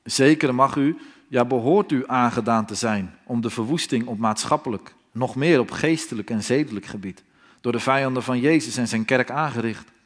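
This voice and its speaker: A man's voice: mid-range voice, moderate pace. A man preaching a fiery sermon in a solemn, pleading tone, firing off rhetorical questions.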